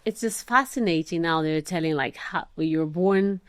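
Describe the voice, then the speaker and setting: A woman's voice: fairly low pitch, normal speed, no echo, studio quality. A woman hosting a podcast, introducing and interviewing a guest with effusive enthusiasm. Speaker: podcast host. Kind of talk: interview conversation.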